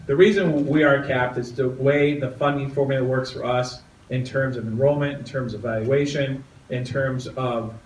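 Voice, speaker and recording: A man answering an audience question about school funding in a calm, factual way. His voice sits at a middle pitch. The sound is echoey and distant. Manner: measured, factual explanation. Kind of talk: explaining school funding at a meeting. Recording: roomy, distant, with faint noise.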